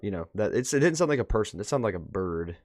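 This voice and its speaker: A man hosting a reaction video, casually commenting and thinking out loud as he goes. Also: on the low side, sing-song, quick pace, no room sound, studio quality.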